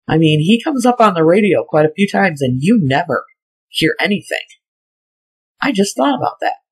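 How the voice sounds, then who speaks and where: Husky, skeptical amateur video commentator. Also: medium pitch, rough recording. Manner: skeptical, emphatic. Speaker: video narrator and analyst.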